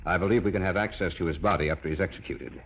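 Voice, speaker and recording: A man's voice: sits low, racing. An actor playing an obsessed older scientist and father, commanding and zealous, in an old-time radio drama. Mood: obsessed, dismissive. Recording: muffled, noisy vintage radio recording.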